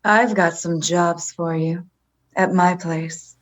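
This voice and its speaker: Woman playing a low, sultry femme fatale. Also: steady tone. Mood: seductive. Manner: slow, seductive, teasing. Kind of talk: noir parody radio play.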